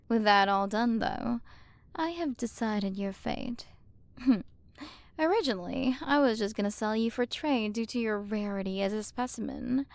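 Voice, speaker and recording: A woman playing a haughty, curious alien galaxy queen in an ASMR roleplay, soft and condescending, possessive of her human. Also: fairly high pitch, vocal fry, dry recording. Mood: smug, possessive. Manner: soft, condescending, teasing, at times whispered.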